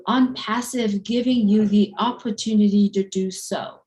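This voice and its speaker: A middle-aged woman co-hosting a live stream, making an emphatic promotional pitch. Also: fairly even intonation, unhurried, a little mellow, rough recording. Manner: upbeat, emphatic sales talk. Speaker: co-host.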